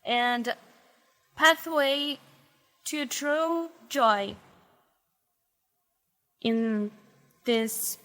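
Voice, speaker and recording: Young brazilian woman, portuguese accent, slow careful english, fairly high voice, faith testimony. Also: a bit bright. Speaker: interview guest.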